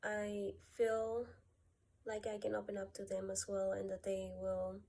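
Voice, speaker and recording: A woman from Peru talking through a personal gratitude list, softly and hesitantly, with many pauses and fillers. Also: mid-range voice, completely flat delivery, creaky. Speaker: vlogger.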